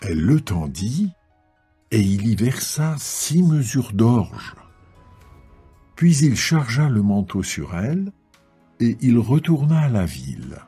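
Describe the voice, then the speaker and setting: A man narrating dramatised scripture in a solemn, measured storytelling delivery. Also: medium pitch, very animated, warm tone, husky, soft background music.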